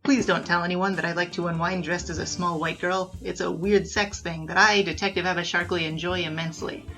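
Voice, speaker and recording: A woman's voice: on the low side, fairly even intonation, brisk, fairly bright tone, poor audio. A woman playing a brash, boastful young bandit king who tells wisecracking, slangy stories in a 1920s noir comedy audio drama, with music behind. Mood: bluffing. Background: background music.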